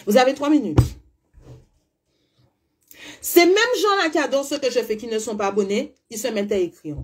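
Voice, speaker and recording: Woman, high voice, husky, emphatic, blunt, rhetorical questions, spiritual coach. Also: animated, moderate pace. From French Caribbean (Guadeloupe, Martinique). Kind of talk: monologue to followers.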